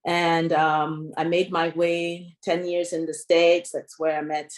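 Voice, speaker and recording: A woman's voice: fairly low pitch, level tone, takes it slowly, roomy sound. A woman from Montserrat who sings and writes songs, introducing herself politely on a video call panel. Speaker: singer and songwriter guest.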